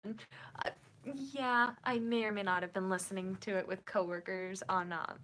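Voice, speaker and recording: A woman's voice: mid-range voice, fairly even intonation, normal speed, a bit bright. A young woman with a Minnesotan accent, hosting a casual writing livestream and chatting with her viewers in a bubbly, giggly, rambling way. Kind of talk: casual chat reading viewer comments.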